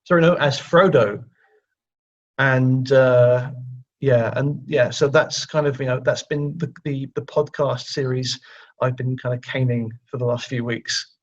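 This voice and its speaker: A man speaking as an interview guest in casual chat, halting and repeating words as he goes. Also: medium pitch, fairly even intonation, dull audio. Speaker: guest writer.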